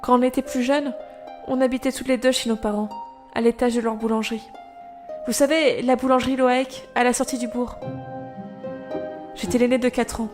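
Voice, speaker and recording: An actress playing a devoted young elder sister in an audio drama, telling of her past in a measured, earnest, literary way. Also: fairly high pitch, level tone, a little mellow, faint music behind, close to an everyday voice. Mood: wistful, sober. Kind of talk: audio drama acting and storytelling.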